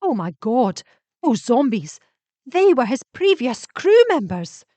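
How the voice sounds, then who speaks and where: Woman playing female vampire hunter, feisty, indignant, challenging, highish voice, sci-fi story, dry sound. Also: very animated, takes it slowly, mellow, no echo, heavily acted. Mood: shocked.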